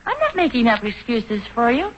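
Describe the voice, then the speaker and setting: An actress playing an affectionate, practical American wife in a 1940s radio comedy. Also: female voice, on the high side, huge pitch swings, normal speed. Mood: reassuring. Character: reassuring, affectionate, practical middle-aged wife. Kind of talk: 1940s radio sitcom acting. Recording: muffled, faintly noisy 1940s radio recording.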